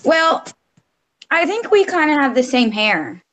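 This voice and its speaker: A woman's voice: sits high, big pitch swings, a little slow, fairly bright tone. A woman guest in casual chat on a livestream, modest and hesitant, often trailing off.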